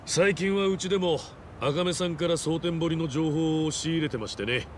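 An actor playing a polished, composed fixer in a game, speaking politely with dry wit. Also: male voice, on the high side, slight background noise, lightly acted. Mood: courteous. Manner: polite, measured, wry. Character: polished, composed male fixer, dryly courteous.

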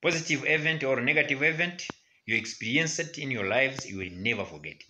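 A man's voice: medium pitch, animated, a little fast, bright, forceful tone, a little hiss or hum, poor audio. A man interviewing a guest who prompts him, finishes his sentences and repeats his answers back. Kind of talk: interview questions.

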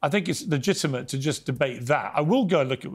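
Pushy British talk show host. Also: male voice, on the high side, expressive, fast talker, husky. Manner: pushy, interrupting, incredulous. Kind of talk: combative interview.

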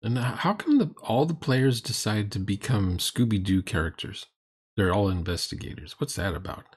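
Sarcastic middle-aged man with swooping pitch. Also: animated, moderate pace, husky. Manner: sarcastic, blunt, mocking. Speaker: host reacting to a recorded roleplaying game. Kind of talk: critical reaction commentary.

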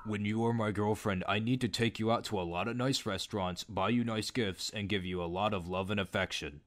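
A man's voice: lowish voice, fairly even intonation, brisk, close to an everyday voice. A man voicing a creepy, boastful man in his late twenties with a smug, coaxing manner, for a story video. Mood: insistent, creepy. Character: creepy, boastful, pushy 29-year-old man.

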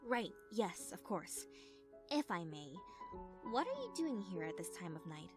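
A woman voicing a polite, awkward young pony in an animated fan drama, speaking haltingly. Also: lowish voice, music in the background. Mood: surprised, polite.

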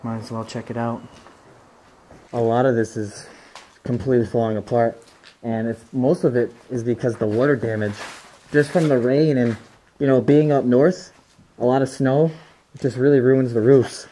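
A man's voice: medium pitch, steady tone, moderate pace, long pauses, dark tone, a little hiss or hum, poor audio. A young man casually narrating as he explores an abandoned resort, speculating about what each room used to be. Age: young adult. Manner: chatty, speculative, easily impressed. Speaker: urban explorer. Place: large abandoned resort building, indoors. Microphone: handheld camera.

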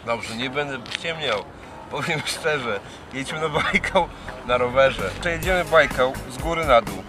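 A man's voice: medium pitch, expressive, a little slow, music in the background. A man chatting casually outdoors, recorded by a camera a few steps away. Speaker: expedition cyclist. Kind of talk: casual chat. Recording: distant camera microphone outdoors.

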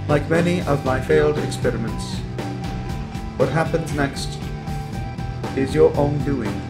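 A man voicing a coldly superior, menacing robot overlord in a roleplay monologue, with deliberate delivery and stuttering glitches on words. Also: fairly high pitch, loud music behind the voice, lightly acted, rough recording. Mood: threatening, final.

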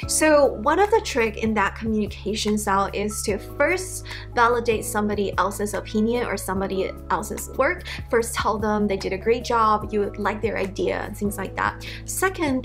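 A woman in her thirties whose first language is Chinese, giving casual study advice to camera from a living-room armchair, chatty and animated. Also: fairly high pitch. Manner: chatty, animated, acts out example emails. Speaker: educator YouTuber.

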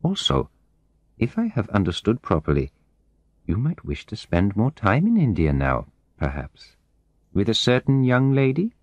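A man's voice: lowish voice, huge pitch swings, unhurried, darkish tone, no room sound, muffled recording, heavily acted. A man voicing a friendly, eager Indian businessman's letter in an audiobook story, courteous and persuasive. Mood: earnest, friendly.

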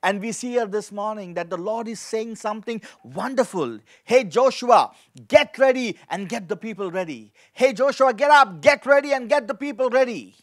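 A man's voice: high-pitched, lively intonation, moderate pace, hardly any pauses. A man in his forties preaching a sermon as a pastor, reading scripture and repeating key phrases with emphasis into a handheld microphone. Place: indoor studio with dark backdrop, at a lectern.

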